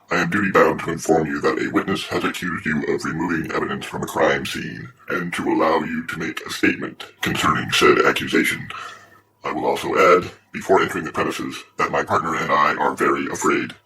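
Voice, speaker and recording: A low, tense-toned dystopian patrol officer, acted by a man, officious and menacing. He speaks in deadpan, bureaucratic audio drama style, over faint ambience. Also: fairly quick, a little hiss or hum, low-quality recording. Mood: deadpan, formal.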